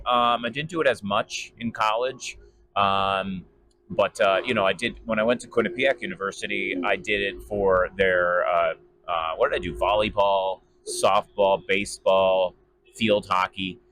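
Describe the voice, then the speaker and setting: Man, deep low pitch, casual interviewee, conversational, hesitant, rambling delivery. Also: fairly even intonation, moderate pace, fairly bright tone, slight background noise. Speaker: sports PA announcer and voice actor being interviewed.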